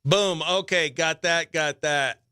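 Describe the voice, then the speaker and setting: Bright, high-voiced upbeat male radio host. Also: takes it slowly, smooth, dry recording, studio quality. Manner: upbeat, effusive, chatty. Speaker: stand-up comedian. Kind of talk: casual morning radio chat with livestream viewers. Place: radio studio.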